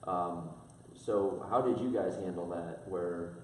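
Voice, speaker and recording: A man in his thirties hosting the interview, asking measured questions and affirming his guests. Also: low voice, slight background noise. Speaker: interviewer, podcast host. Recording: very echoey room from a distance.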